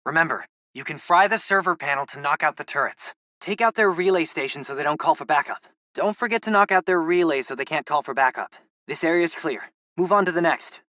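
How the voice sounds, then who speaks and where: A man's voice: fairly high pitch, a little fast, bright, forceful tone, dry recording, studio quality. A young man playing a geeky, upbeat teenage boy in a video game, a loyal best friend with a casual, wry, chatty way of talking. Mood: focused. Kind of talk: video game companion dialogue.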